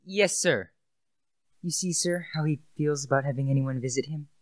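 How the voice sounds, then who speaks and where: A teenage male student actor playing a cautious, deferential butler in a radio play, formal and halting. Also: on the high side, dry recording, studio quality, natural voice. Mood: meek, formal.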